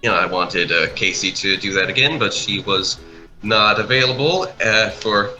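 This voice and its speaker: Man, lowish pitch, sharp bright tone, casual chat, halting and rambling, music behind. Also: rough recording. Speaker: animation project producer. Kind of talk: casual chat over a speedpaint.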